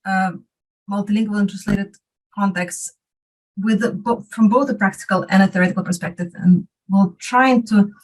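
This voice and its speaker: A woman's voice: mid-range voice, poor audio. A woman chairing an academic panel, introducing a speaker with many hesitations. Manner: hesitant, many fillers and restarts.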